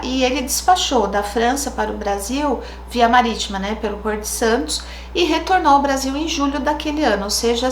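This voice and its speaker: A Brazilian woman with a slightly high voice tells a true crime case in a relaxed, chatty way, thinking aloud in asides. Her voice rings in an echoey room, with a faint hiss behind it. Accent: Brazilian Portuguese. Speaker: true crime channel host. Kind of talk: casual true crime storytelling.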